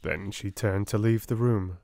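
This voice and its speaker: Slow soft-spoken British man reading aloud. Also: on the low side, fairly even intonation, creaky, dry recording. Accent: British English. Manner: slow, gentle, measured. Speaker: narrator.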